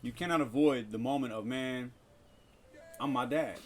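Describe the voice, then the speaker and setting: A man's voice: medium pitch, moderate pace. A man in casual podcast conversation who talks like a preacher, citing scripture and building to emphatic, repeated phrases. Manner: cites scripture.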